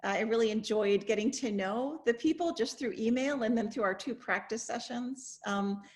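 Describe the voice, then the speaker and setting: An expressive woman speaking reflectively as a professor hosting an online discussion over a video call. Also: fairly high pitch, normal speed. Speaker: host, English professor.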